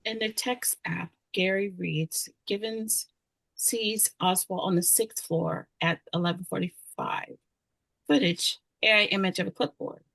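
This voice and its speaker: Casting: female narrator for stage directions, mid-pitched with noticeable fry, dry close sound. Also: fairly even intonation, unhurried, fairly bright tone, no room sound, rough recording. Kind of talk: scripted narration at a screenplay table read.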